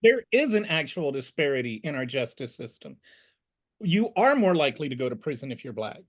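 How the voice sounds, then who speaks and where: A man's voice: medium pitch, sing-song, brisk. A man on a discussion panel, arguing casually and emphatically.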